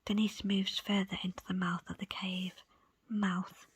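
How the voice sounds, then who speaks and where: A woman narrating a first-person story as an ASMR audiobook reader, in a breathy, unvoiced whisper. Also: medium pitch, flat delivery, unhurried, no room sound.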